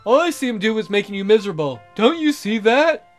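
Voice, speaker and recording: Jealous rival suitor played by a man, raised pitch, insistent and pleading, romance game, light music behind. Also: very animated, a little fast. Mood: indignant. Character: pushy, jealous male suitor and coworker.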